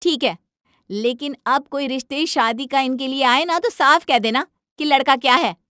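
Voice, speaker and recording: A woman voicing an indignant, sharp-tongued young wife who insists on her way in a domestic cartoon story. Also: high-pitched, moderate pace, no room sound, studio quality. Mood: firm. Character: feisty, indignant young wife demanding equality.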